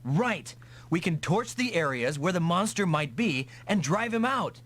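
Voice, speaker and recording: Casting: gung-ho young pilot hero for an anime-style game, confident, animated delivery. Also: male voice, high voice, measured pace, exaggerated voice. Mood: focused. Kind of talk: dubbed anime-style video game acting. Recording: noisy vintage console game audio.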